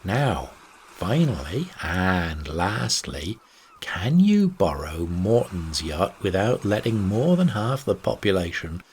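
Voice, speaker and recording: A man's voice: low-pitched, very animated, slow pace, heavily acted. Hearty, scheming old skipper, acted out by a male reader, salty and emphatic, swinging delivery, slight noise behind. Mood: scheming, insistent. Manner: salty nautical talk, emphatic. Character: shrewd, hearty old sea captain, bossy and fatherly.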